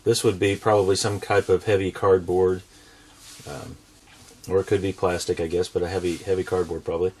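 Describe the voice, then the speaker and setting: Low-pitched American man teaching drawing. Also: little pitch movement, a little fast, darkish tone, a little hiss or hum. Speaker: drawing instructor and show host. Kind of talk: step-by-step drawing tutorial for children.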